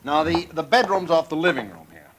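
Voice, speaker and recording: A man's voice: a little high, very animated, brisk, slight background noise. A man playing a folksy, affable real estate agent in a 1940s radio drama, with rambling salesman patter. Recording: old radio recording with loud music.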